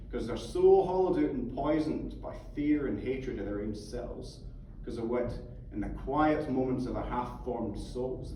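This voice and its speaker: A man's voice: mid-range voice, darkish tone, close to an everyday voice. A man reading the part of a fervent, sweary Scottish mentor who philosophises in an emphatic, coaxing manner. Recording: echoey theatre from far off, faint noise.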